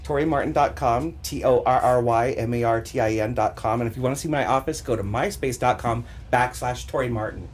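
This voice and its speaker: A woman's voice: extremely low pitch, a little fast, few pauses. A woman chatting casually as a podcast guest, joking and exuberant. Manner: jokey, exuberant, animated. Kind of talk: casual chat. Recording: noisy room recording.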